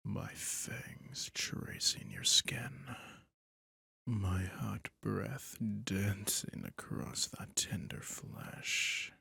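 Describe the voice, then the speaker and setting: A possessive male vampire, voiced by a man, breathes slow, commanding threats in a low, gravelly whisper. The voice is husky and close, with a dry, intimate sound for an ASMR roleplay. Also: huge pitch swings, sharp tone, dry recording, exaggerated voice. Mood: sinister seductive.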